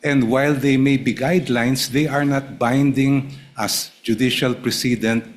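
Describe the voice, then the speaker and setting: A man with a Filipino accent argues as counsel before an impeachment court. He speaks in a measured, formal way and addresses the bench as Your Honor. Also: mid-range voice, steady tone. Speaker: defense counsel. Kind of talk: formal legal argument.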